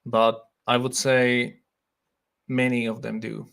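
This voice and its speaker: A young Slovak man with a Slovak accent, hosting a casual livestream, reading comments and thinking aloud in a relaxed way with frequent laughs. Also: medium pitch, slow, creaky, clean tone. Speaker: livestream host.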